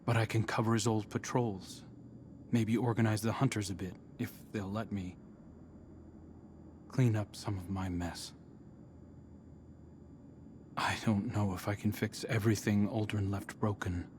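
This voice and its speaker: A man's voice: lowish voice, fairly even intonation, a little slow, pauses often, background noise, close to an everyday voice. A man acting a remorseful guardian hunter in a video game cutscene, speaking reflectively and earnestly. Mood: earnest, humble. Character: remorseful male guardian hunter seeking redemption.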